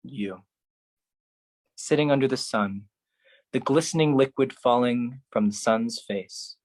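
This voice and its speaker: Slow, deliberate theatrical solo performer. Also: mid-range voice, darkish tone. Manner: dramatic, deliberate phrasing with pauses and repetition. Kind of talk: theatrical poetic monologue, spoken-word solo performance.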